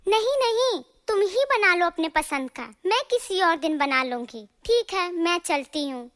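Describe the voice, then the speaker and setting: A boastful young daughter-in-law from a cartoon family tale, voiced by a woman. She is extremely high and squeaky with a bright edge, always ready to bicker and talk herself up. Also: expressive, measured pace, heavily acted. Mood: huffy. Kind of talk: animated moral story dialogue.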